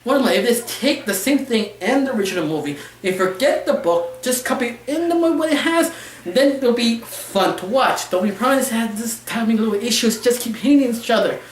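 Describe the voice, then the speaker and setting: A woman giving a casual, opinionated review, rambling and halting, with non-fluent English phrasing. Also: on the high side, expressive, a little hiss or hum. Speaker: cartoon reviewer. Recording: roomy, echoey sound.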